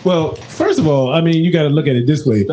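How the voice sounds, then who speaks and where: A man's voice: fairly high pitch, fast, dark tone, slight background noise. A man in his forties, a podcast guest, talking playfully and boasting about himself in casual banter. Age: 40s. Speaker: guest, meal prep business owner.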